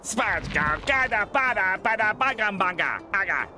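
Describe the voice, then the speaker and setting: A man's voice: sits high, animated, normal speed, noisy background. A man voicing a pompous, sour middle-aged squid in a cartoon game, nasal, haughty and theatrical. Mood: babbling, grumpy. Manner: haughty, theatrical, mock-archaic. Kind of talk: animated cartoon game voice acting.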